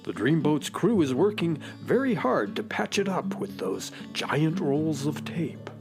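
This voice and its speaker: An actor playing a proud, deadpan, slightly huffy talking space horse in a children's radio play. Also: medium pitch, a little slow, creaky, music in the background. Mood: earnest.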